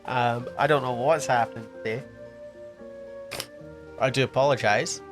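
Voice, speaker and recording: A man's voice: medium pitch, fairly even intonation, moderate pace, over music. A man commentating a video game at a casual pace, sniffly, thinking aloud with frequent ums and restarts. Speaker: gameplay commentator. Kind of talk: casual let's-play commentary.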